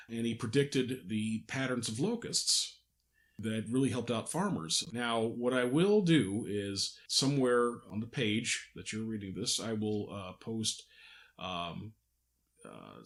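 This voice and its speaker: A man hosting a podcast in casual conversation, explaining things haltingly and often correcting himself. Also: fairly low pitch, sing-song. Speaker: podcast host, audio drama producer. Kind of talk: casual behind-the-scenes podcast chat.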